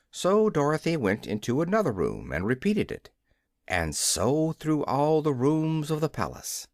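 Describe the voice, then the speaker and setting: A man reading a children's story aloud as an expressive audiobook narrator. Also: fairly high pitch, no room sound, clean studio sound.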